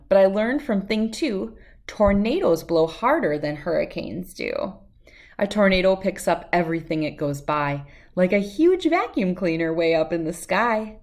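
A middle-aged woman reads a rhyming children's picture book aloud in a measured, sing-song way. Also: mid-range voice, a little mellow.

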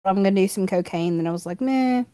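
A woman's voice: on the low side, little pitch movement, racing, warm tone, a little hiss or hum. A woman playing a tabletop game, joking and teasing in crude, casual banter.

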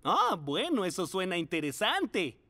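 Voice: mid-range voice, huge pitch swings, a little slow, very noisy. An actor voicing a kindly, encouraging mole schoolteacher in a children's cartoon. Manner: gentle, encouraging, theatrical.

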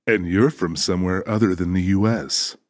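An actor playing an easygoing, sassy trucker in an audiobook, folksy and teasing. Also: male voice, sits low, normal speed, dry recording, clean studio sound, close to an everyday voice. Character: easygoing, sassy male trucker with a joking temper. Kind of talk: dramatized audiobook dialogue.

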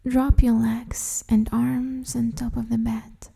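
A woman leads a guided meditation in a very dark, velvety voice that often slips toward a whisper. Her delivery is slow and soothing. She keeps her intonation even as she gently gives instructions. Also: on the high side. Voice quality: soft, breathy, half-whispered.

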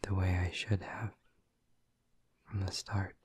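Male actor as a doting vampire boyfriend: low, breathy, slow whispers, teasing and intimate. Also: flat delivery, exaggerated voice. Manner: teasing and affectionate. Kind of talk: ASMR audio roleplay.

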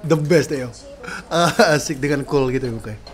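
Man, 30s, casual reaction talk, excitable exclamations, slightly raised pitch, faint music in background. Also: moderate pace. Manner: excitable, frequent slang exclamations. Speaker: sound engineer reacting to music. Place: small dim room at home. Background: faint music playing.

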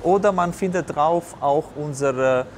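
A man's voice: on the high side, a little slow. A man in his forties from southern Italy with an Italian accent, a native Italian speaker answering interview questions and often searching for words. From Basilicata, southern Italy. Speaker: restaurant operator, interviewee. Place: indoors in a restaurant bar. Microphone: lapel mic. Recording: clear background noise, restaurant ambience.

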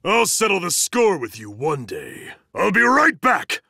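An actor playing a huge, stern, zealous student enforcer in an anime fighting game, booming and bombastic, with formal, emphatic delivery and a gravelly, forceful voice. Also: male voice, wildly swooping pitch, measured pace, dry recording, studio quality, full character voice. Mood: courteous, composed.

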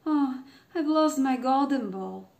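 A woman's voice: high-pitched, lively intonation, takes it slowly, gravelly, darkish tone, echoey room, voice pushed up, heavily acted. A woman voicing a squeamish, spoiled young princess in a storybook reading, whiny and dramatic. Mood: fretful.

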